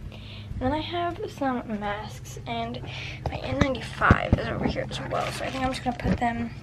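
A chatty teenage girl talks to her camera while cleaning her bedroom, her voice a touch high. She rambles, circling back on herself with plenty of fillers. The home recording has a clear hiss of noise behind it. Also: moderate pace. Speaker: vlogger. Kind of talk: casual vlog chat. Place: bedroom, indoors. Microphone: handheld camera at arm's length.

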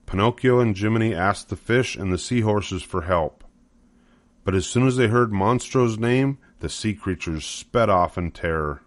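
An older man reads a children's story as its narrator. His voice sits fairly low and is recorded close and dry, with scripted storybook phrasing. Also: moderate pace, no echo.

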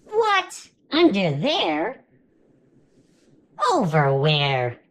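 A woman voicing a playful little boy bear cub in a storybook read-aloud, with excited, wide-swinging exclamations. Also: low-pitched, very slow, exaggerated voice.

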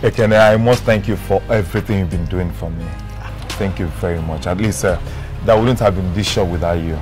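A man's voice: deep voice, brisk, over music, close to an everyday voice. An actor playing a cheerful, effusive man who laughs often, thanking a friend and confessing his love. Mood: grateful. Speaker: shop owner suitor.

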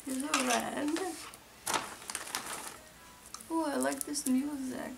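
A woman's voice: sits high, fairly even intonation, a little slow, creaky, full character voice. A woman voicing a sweet, shy, eager monster doll girl in an ASMR role play, using a put-on foreign accent and simple broken English. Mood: calm, absorbed. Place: indoor room, seated on floor. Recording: noisy distant mic with crinkling.

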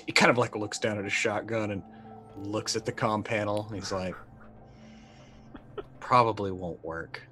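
A middle-aged man with a fairly low voice talks through his moves in a tabletop game. He improvises casually, hesitating and thinking aloud, with music playing behind him. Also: steady tone, normal speed, pauses often, bright, forceful tone. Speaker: player in a tabletop roleplaying game. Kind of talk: casual improvised roleplay narration. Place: indoor room, on a video call.

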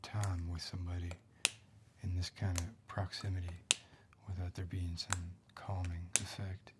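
A man in middle age playing a menacing, sardonic backwoods crime-family man in an ASMR roleplay, gravelly and hushed, with slow folksy phrasing. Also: low-pitched, little pitch movement, natural voice. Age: middle-aged. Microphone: close ASMR microphone. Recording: close ASMR mic, loud hiss, clicks.

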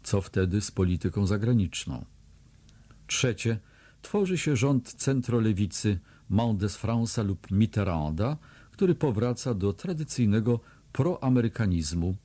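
A man with a husky, somewhat low voice reads diary entries aloud for an audiobook. His tone is dark and mellow, and his delivery is dry and sardonic, biting down on every jab. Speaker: audiobook reader.

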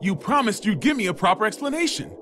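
An actor playing a blunt, agitated older president who speaks with urgent disbelief in an animated game cutscene. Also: male voice, high voice, fast, a little hiss or hum. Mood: agitated, demanding. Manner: blunt, incredulous, urgent questioning.

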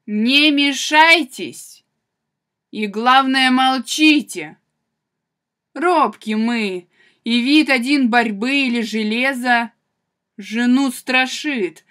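Casting: actress for wronged, vengeful wife in classical tragedy. Higher female voice, very slow, declamatory delivery, proud and bitter, intense. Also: fairly bright tone. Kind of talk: theatrical classical tragedy monologue.

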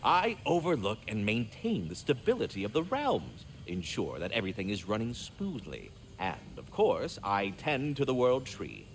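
Fussy, theatrical talking squirrel. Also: male voice, lowish voice, wildly swooping pitch, moderate pace, few pauses, slight background noise. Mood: chatty, proud. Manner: verbose, formal, fussily polite, sardonic. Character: magical talking squirrel, prim, courteous male caretaker. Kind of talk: video game character acting.

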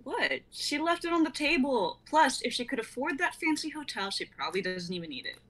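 A woman in her twenties playing a cheeky, defiant young traveling companion with a husky voice in a script reading. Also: sits high, big pitch swings, brisk, a bit bright. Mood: cheeky, defensive. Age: 20s. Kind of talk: amateur dramatic script reading.

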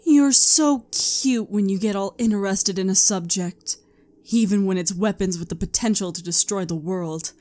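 A woman's voice: mid-range voice, normal speed, mellow, natural voice. A woman voicing a flippant, amused, immortal pony princess in a fan fiction audio drama. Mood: breezy.

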